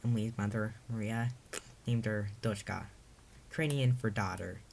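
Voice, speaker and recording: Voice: medium pitch, fairly even intonation, normal speed, gravelly, darkish tone. A narrator reading their own story aloud in a storybook read-aloud style.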